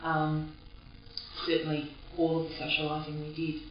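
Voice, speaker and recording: Australian woman, greek as first language, flat even delivery, roomy echo, distant dull mic. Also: low voice, slight background noise. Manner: reflective, halting. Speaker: interviewee. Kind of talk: personal reminiscence. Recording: echoey, distant, dull recording.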